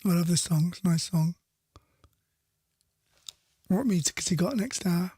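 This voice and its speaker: A man's voice: high-pitched, unhurried, dark tone, breathy edge, no room sound, clean studio sound. A man presenting a radio show casually, chatty and rambling, often correcting himself mid-sentence. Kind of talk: casual radio presenting between soul songs.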